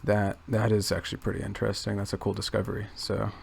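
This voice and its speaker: A man quietly thinking aloud in a gravelly low register, rambling with many restarts and fillers. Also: completely flat delivery, fast talker. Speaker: channel host. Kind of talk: casual rambling commentary.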